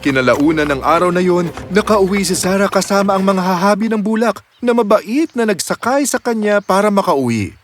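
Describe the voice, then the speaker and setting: A man's voice: high-pitched, lively intonation, normal speed, hardly any pauses, soft background music. A man narrating a scripted children's fairy tale with a dramatic storytelling delivery.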